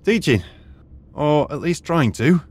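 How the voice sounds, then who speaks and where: An actor playing a wry, formal British archaeologist who now teaches high school history, in an audio drama. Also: male voice, lively intonation, slow, a little mellow.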